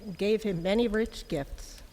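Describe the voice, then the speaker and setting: A woman playing a gentle, timid young village mother in an amateur dramatic Christmas reading, with an earnest, deliberate delivery. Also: medium pitch, close to an everyday voice. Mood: hushed, uneasy. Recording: long hall reverb, faint background noise.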